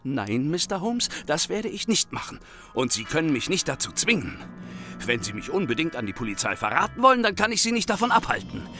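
An actor playing an anxious, cowardly young hotel manager turned thief in a radio drama, agitated and pleading. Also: male voice, a little high, big pitch swings, brisk, over music. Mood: defiant, agitated. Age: young adult.

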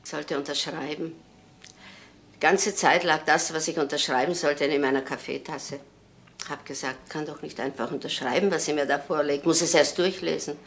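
A woman's voice: deep voice, fairly even intonation, moderate pace. An actress playing a wistful, stubborn old mother in a crime drama, rambling and repeating herself in short phrases. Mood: wistful, cheerful. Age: older.

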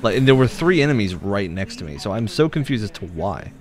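A man's voice: on the low side, very animated, brisk, a little mellow, faint music behind. A young man giving casual, critical, bemused commentary as he streams a video game.

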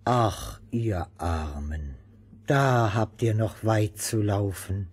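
A pitying old woman speaks in a fairy-tale record play. Her husky voice moves slowly but swings widely in pitch. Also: heavily acted. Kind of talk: radio play acting.

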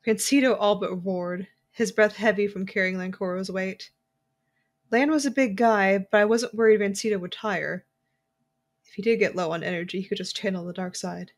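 A woman's voice: mid-range voice, fairly even intonation, moderate pace, close to an everyday voice. A woman playing a tense, watchful young intelligence agent, narrating her own story in an audio drama. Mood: grim, tense. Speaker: narrator and lead character. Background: music.

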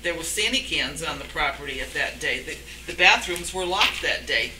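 A woman challenges a colleague firmly at a board meeting. She is picked up from a distance in a roomy space with clear background noise. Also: deep voice, animated, normal speed. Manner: firm, challenging. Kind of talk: argument at a public meeting. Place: municipal meeting room. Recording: echoey, noisy room, distant microphone.

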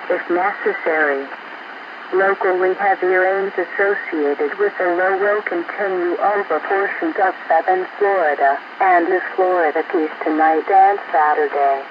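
A radio announcer reading a formal marine weather bulletin in a measured, impersonal way over shortwave single-sideband radio. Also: medium pitch. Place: radio broadcast played through a small receiver speaker. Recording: noisy, muffled shortwave radio reception. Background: loud static noise.

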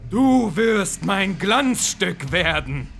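A man voicing a sinister, obsessed mad surgeon in a horror audio drama, speaking deliberately and menacingly. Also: high voice, very animated, vocal fry, fairly bright tone, soft background music, heavily acted. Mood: reverent, triumphant. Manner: slow, deliberate, menacing delivery. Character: sinister mad surgeon with unnatural glowing eyes, obsessed creator.

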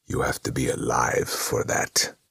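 A man voicing a sinister male demon who feeds on despair, speaking slowly and scornfully in a whispery voice. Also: rumbling low, completely flat delivery, creaky, a bit bright, husky, no echo, clean studio sound, full character voice. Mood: cold. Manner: slow, cold and scornful.

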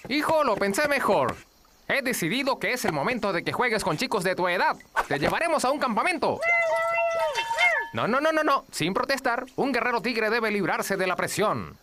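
A man's voice: sits high, sing-song, moderate pace, bright, forceful tone, slight background noise, full character voice. An actor voicing a proud, earnest penguin father who sees himself as a tiger warrior, in a commanding, solemn cartoon delivery. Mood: fatherly, firm. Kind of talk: animated cartoon dub.

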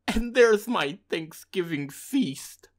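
Greedy male fox villain voiced by a woman; low, sneering, slow, wildly swinging delivery. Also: very animated, exaggerated voice. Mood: gloating. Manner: sneering, scheming, gloating. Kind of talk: storybook reading.

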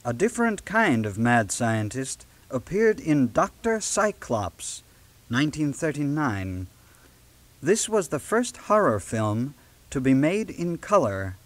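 Slow, expressive male read-along narrator. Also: mid-range voice, dry recording. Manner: measured, deliberate storytelling with dramatic emphasis. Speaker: audiobook narrator. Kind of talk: scripted read-along narration for a children's book. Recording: late-1970s read-along audio cassette.

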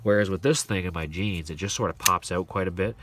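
A man's voice: deep voice, fairly quick, distant mic, background noise. A man casually showing his gear outdoors on a deck, rambling with enthusiasm and plenty of fillers. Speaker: gear reviewer. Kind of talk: casual show-and-tell. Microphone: overhead camera on hands, outdoors.

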